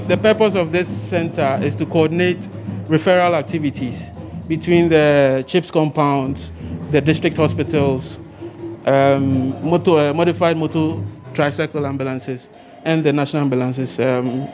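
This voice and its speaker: A man with a Ghanaian accent giving a measured explanation in an interview, as an official. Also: on the high side, music in the background. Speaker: ambulance service IT deputy director.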